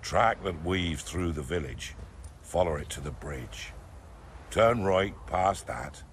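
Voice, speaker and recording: A man's voice: deep voice, animated, slow pace, a little hiss or hum, exaggerated voice. An actor playing a weary, sly villager in his seventies in a video game, with a British accent and a wry, folksy manner. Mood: sly, storytelling. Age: 70s. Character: weary, sly old male peasant villager.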